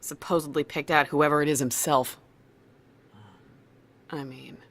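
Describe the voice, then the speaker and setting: An actress playing a brisk, bossy, no-nonsense female military second-in-command in an audio drama. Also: low voice, steady tone. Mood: matter-of-fact.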